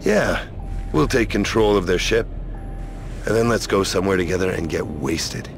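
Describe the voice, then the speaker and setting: An actor playing a tough, sardonic soldier hero in a video game, speaking in a dry, deliberate, menacing way. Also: male voice, sits low, lively intonation, gravelly. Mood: wry. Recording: loud music over the voice.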